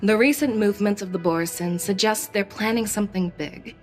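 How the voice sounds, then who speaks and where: A woman playing a shrewd, confident military commander in a video game, speaking in a measured, assured way. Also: medium pitch, close to an everyday voice. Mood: assertive. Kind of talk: video game story dialogue acting. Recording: music under the voice.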